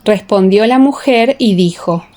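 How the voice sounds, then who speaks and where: A woman narrating a scripture audiobook in a measured, solemn, scripted reading style. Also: medium pitch, darkish tone.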